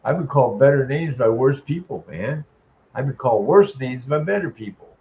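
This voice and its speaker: A man ranting in a profane monologue, ending sentences with "man," chuckling, and stalling on drawn-out "uh" sounds. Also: mid-range voice, expressive, dark tone, lo-fi sound. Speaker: vlogger.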